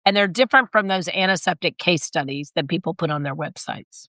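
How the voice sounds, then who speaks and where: Woman, hoarse, raspy, low voice, emphatic, dry close sound. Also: sharp tone, no room sound. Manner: brisk, emphatic, acts out quoted voices. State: hoarse, recovering from illness. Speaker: guest, sales consultant and author. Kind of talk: conversational interview.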